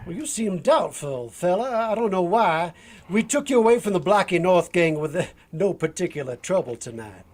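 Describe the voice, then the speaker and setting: An actor playing a jovial, folksy bodyguard sidekick in a vintage radio drama, talking in an amused drawl. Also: male voice, high-pitched, expressive, normal speed, a little mellow. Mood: folksy, teasing.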